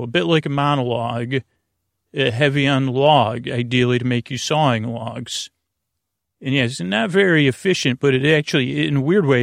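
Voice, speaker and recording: A man hosting a sleep podcast in a meandering, halting monologue that keeps wandering off on tangents. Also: medium pitch, no echo, clean studio sound. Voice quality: creaky, gravelly. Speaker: podcast host.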